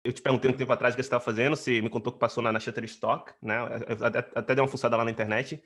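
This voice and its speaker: A man's voice: mid-range voice, little pitch movement, racing, a bit bright. A man hosting a casual interview in an informal, chatty way. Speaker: host, interviewer.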